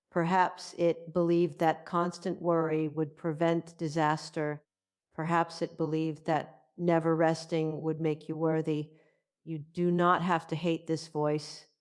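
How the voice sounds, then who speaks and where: A woman giving a calm, guided self-compassion narration in a gentle, measured, soothing way. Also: deep voice, clear, resonant tone.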